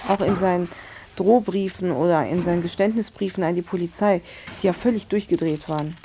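A woman's voice: on the low side, a little fast, background noise. A woman explaining things in casual talk, thoughtfully searching for her words. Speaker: interviewee talking about manga. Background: music.

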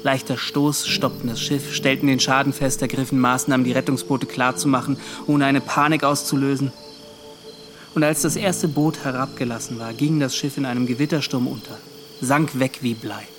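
An actor playing a haunted young ship's officer, bitter and agitated, justifying himself in a radio drama monologue. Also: male voice, mid-range voice, fairly even intonation, moderate pace, over music, natural voice. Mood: defensive. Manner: agitated, self-justifying, rhetorical questioning.

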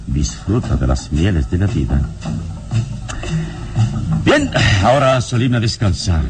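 An actor playing a calm, wise heroic adventurer in a radio drama, speaking in a measured, philosophical way. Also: male voice, low voice. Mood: reassuring, instructive. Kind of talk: classic radio drama acting.

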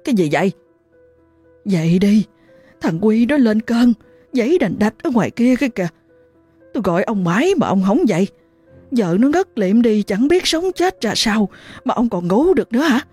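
A woman's voice: a little high, animated, normal speed, pauses often, darkish tone, husky, faint music behind, pitched above the performer's own voice. A woman voicing a terrified mother, pleading and stammering in panic. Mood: frantic. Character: frantic mother, terrified and desperate.

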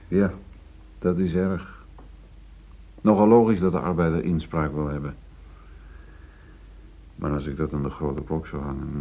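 A man's voice: low-pitched, gravelly. An actor in a Dutch radio play, playing a newly promoted, uneasy middle-aged foreman who earnestly justifies himself. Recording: muffled phone-like old radio recording.

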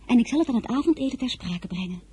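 Teenage merchant's daughter, high mellow voice, naive, curious, candid, radio drama. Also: female voice, expressive, fast talker, breathy edge. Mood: earnest, matter-of-fact. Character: earnest, curious fourteen-year-old merchant's daughter. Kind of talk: radio play acting and diary narration.

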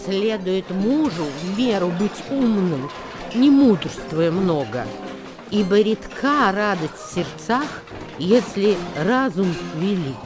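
Slow, expressive wise woman over music. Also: medium pitch. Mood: solemn counsel. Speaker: actress reciting verse. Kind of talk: dramatic radio recitation of ancient verse.

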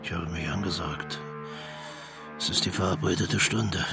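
An actor playing a stern, self-aggrandizing older Nazi general in a radio drama, with a gravelly rasp and clipped, grandiose delivery. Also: male voice, sits low, normal speed, music in the background. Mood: grim resolve. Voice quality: gravelly, rasping.

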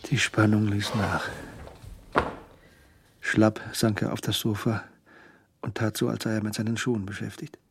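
An actor narrating a crime radio play, voicing a tense criminal's inner thoughts in a husky voice. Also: male voice, lowish voice, level tone, a little slow, pauses often, no echo, faint music behind, full character voice. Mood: brooding.

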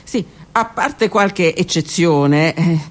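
A woman's voice: fairly low pitch, slow pace. A woman narrating her own literary text for a radio theatre piece, intimate and often dropping to a whisper. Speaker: author and narrator.

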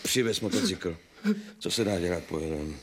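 An actor playing a firm, commanding yet concerned investigating officer in a radio drama. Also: male voice, deep voice, fairly bright tone, slight background noise.

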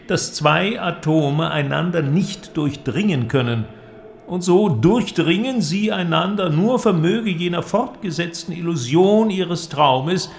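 Man playing a creaky-voiced cynical inventor. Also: a little high, a little hiss or hum. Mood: sardonic, impassioned. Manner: declamatory, argumentative, rhetorical. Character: male inventor, cynical, eloquent philosophical persuader. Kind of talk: literary audio drama dialogue.

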